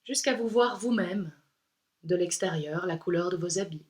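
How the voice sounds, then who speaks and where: Woman, lowish pitch, yoga instructor, soothing guided meditation, pauses between phrases. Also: a little mellow.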